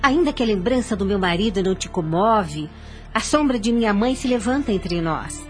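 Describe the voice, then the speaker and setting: A woman's voice: medium pitch, animated, normal speed, darkish tone, faint music behind, natural voice. An actress playing a devout, dignified young widowed mother in a radio soap opera, speaking formal Portuguese with a Brazilian accent in an earnest way. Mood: pleading, reproachful. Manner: formal, earnest, literary diction.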